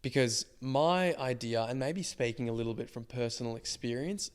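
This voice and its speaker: A man in his twenties co-hosting a podcast with a slightly croaky voice, reflecting at length in casual chat. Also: medium pitch, moderate pace. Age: 20s. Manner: reflective, explains ideas at length. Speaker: podcast co-host.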